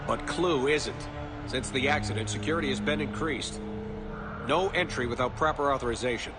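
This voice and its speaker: Man as stern guard under loud music. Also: mid-range voice, moderate pace, close to an everyday voice. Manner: clipped, official, unyielding. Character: stern, officious male security guard program. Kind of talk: game cutscene dialogue.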